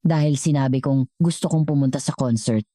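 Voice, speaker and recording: A woman's voice: extremely low pitch, fairly quick, warm tone, no echo, studio quality. Woman voicing a sensitive teenage boy. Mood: guilt-stricken. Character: sensitive thirteen-year-old boy, loving son.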